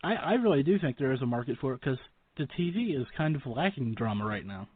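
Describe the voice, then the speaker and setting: A man's voice: mid-range voice, very animated, fast talker, slightly rough, dry recording. A man hosting a radio show, interviewing in a casual, chatty way. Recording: dry but narrow lo-fi audio.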